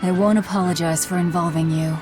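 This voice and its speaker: Actress as young female avenger, lowish pitch, cold, curt, blunt, dramatic game acting, light music behind. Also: fairly even intonation, a little fast, natural voice.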